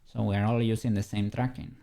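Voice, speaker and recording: A man's voice: fairly low pitch, level tone, brisk, creaky, dark tone. A man from Spain with a Spanish accent, chatting casually with his stream viewers while he works on an animation, musing aloud and laughing lightly. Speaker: streamer and 3D rigger. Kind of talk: casual livestream chat while working.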